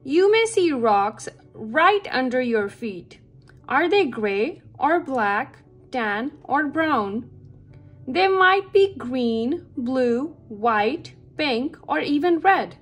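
A woman's voice: high voice, lively intonation, extremely slow, faint music behind. A woman reading a children's science book aloud with careful phrasing meant for young listeners. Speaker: read-aloud reader for children.

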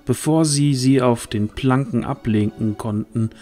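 A man's voice: mid-range voice, unhurried, darkish tone, soft background music. A man reading scripted narration for a fantasy audio drama.

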